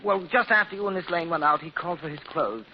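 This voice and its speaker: An actor playing a timid, anxious, deferential manservant in a 1940s radio drama, on an old muffled broadcast recording. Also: male voice, a little high, quick pace, slight background noise, lightly acted. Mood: worried. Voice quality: deferential, worried.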